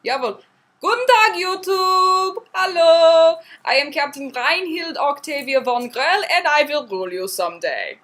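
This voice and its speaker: Brief: woman acting as grandiose Germanic steampunk captain, high-pitched, very animated, boastful and cheery, improvised. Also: measured pace. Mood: grandly boastful. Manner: theatrical, playfully grandiose. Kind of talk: in-character improvised interview.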